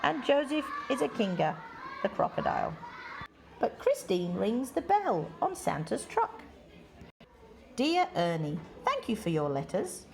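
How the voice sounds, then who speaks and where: A woman reading a children's picture book aloud in deliberate, measured phrases with expressive emphasis. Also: a little high, creaky. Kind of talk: read-aloud children's story.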